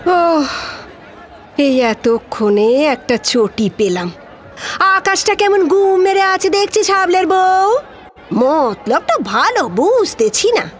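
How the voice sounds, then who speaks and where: An older village maidservant played by a woman in an audio drama, proud and scornful, with a rural West Bengal accent. Her high voice swoops widely as she scolds at a slow, theatrical pace. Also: animated, a faint crowd, full character voice. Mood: chatty.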